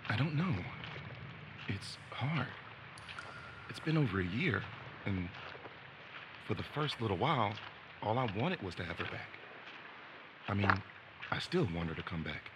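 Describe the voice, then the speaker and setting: A man's voice: fairly low pitch, sing-song, a little slow, long pauses. An actor playing a conflicted, self-deprecating young man in an audio drama, talking hesitantly and naturally. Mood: wistful, reflective. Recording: loud outdoor ambience noise.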